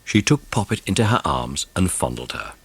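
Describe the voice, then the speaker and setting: A man's voice: low voice, big pitch swings, normal speed, fairly bright tone, slight background noise, exaggerated voice. A man narrating a dramatised children's storybook. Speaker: audiobook narrator.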